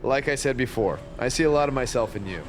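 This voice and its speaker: A man's voice: mid-range voice, fast, slight background noise, close to an everyday voice. A man playing a friendly but firm wrestling show boss in video game dialogue, plain-spoken like a mentor. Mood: firm, welcoming.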